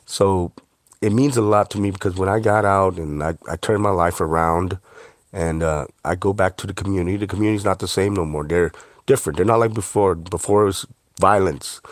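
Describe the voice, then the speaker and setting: A middle-aged chef who grew up in Cambodia with Khmer as his first language talks about his food in an easygoing, earnest way. His voice is very deep, and he pads his sentences with "um" and "you know." The recording is dry and close, with no room sound. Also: dry recording. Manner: earnest, relaxed, many fillers. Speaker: chef and author. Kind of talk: interview conversation.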